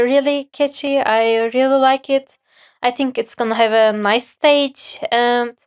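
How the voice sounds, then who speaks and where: Female panelist, high voice, slow hesitant pace, casual chat. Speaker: podcast panelist.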